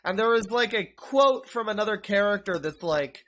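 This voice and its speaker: A man's voice: sits high, expressive, moderate pace, fairly bright tone. A man streaming, rambling and mocking a video in casual commentary.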